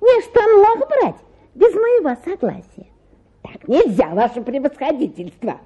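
An actress playing an older, stubborn and plaintive petitioner in a theatrical radio play, whining and insistent. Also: female voice, sits high, sing-song, unhurried, dull audio. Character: pushy, plaintive petitioner, older mother-in-law, obtuse, stubborn.

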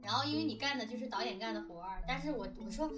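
A woman's voice: fairly high pitch, expressive, racing. A woman chatting casually and animatedly about her work, rambling a little in a self-deprecating way. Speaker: radio drama director and discussion host. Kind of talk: casual chat about making audio dramas. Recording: slightly distant mic, music behind. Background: music.

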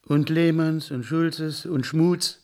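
Earnest, worried higher-voiced man. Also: fairly even intonation, slow pace, dark tone. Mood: bewildered. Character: staid, worried male earthling, petty official and husband. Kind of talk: comic German radio play acting.

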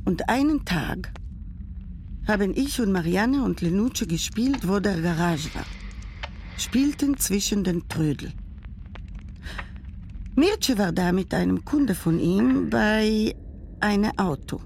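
An actress playing an elderly grandmother who tells a grandchild about her childhood, speaking haltingly with broken grammar. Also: mid-range voice, animated, creaky, a little mellow, slight background noise. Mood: grave. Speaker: narrator figure telling a childhood memory. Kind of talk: radio drama monologue.

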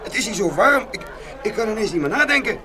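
A man's voice: high-pitched, big pitch swings, fast talker, crowd noise, pitched above the performer's own voice. A man voicing a conceited, silly brother in a fairy-tale audio play. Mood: flustered. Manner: sneering, giggly, later flustered. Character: mocking, boastful second brother in a fairy tale.

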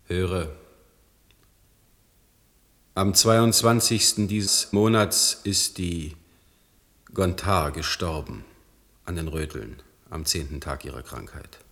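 An actor playing a grave government councillor, formal and measured, in a German radio drama. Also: male voice, deep voice, fairly bright tone, heavily acted. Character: grave government councillor, loyal friend turned dutiful official.

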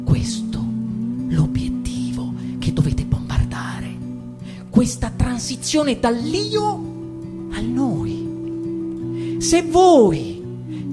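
Slow, dramatically swooping woman over guitar music. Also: sits high. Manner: intense, declamatory, with long dramatic pauses. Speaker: actress reading in a radio collective. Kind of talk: theatrical dramatic reading of a novel.

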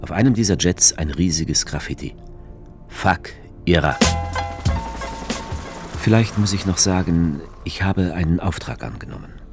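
Writer-narrator, wry, ironic, very deep, gravelly, radio play, music behind. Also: male voice, lively intonation, measured pace, long pauses. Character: male writer travelling to Tripoli, wry and sardonic. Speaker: narrator as author character. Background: music score.

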